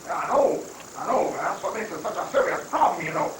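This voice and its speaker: Man as anxious, very high-pitched bumbling minister. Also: lively intonation. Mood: fretful. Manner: hesitant, fretful, halting. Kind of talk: amateur dramatic reading of a satirical play. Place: room at a live library reading. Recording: echoey, distant room recording with faint noise.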